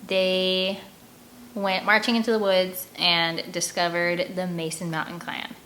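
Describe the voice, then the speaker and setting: A woman reading an audiobook aloud as host, with expressive narration and casual delivery. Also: lowish voice, measured pace, slight background noise, low-quality recording.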